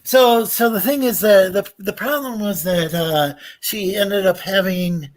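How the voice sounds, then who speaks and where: A man on a podcast, chatting casually, with halting speech full of restarts and "like". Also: high voice. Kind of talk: casual chat.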